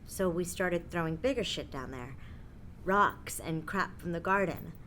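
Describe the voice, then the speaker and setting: A woman's voice: on the low side, unhurried, a bit bright, slight background noise. An American woman playing an odd, blunt apartment tenant in an audio drama, with a slangy, offhand, sweary way of talking. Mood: eager. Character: odd, blunt female apartment tenant, obsessed with a mysterious hole. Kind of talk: naturalistic audio drama dialogue.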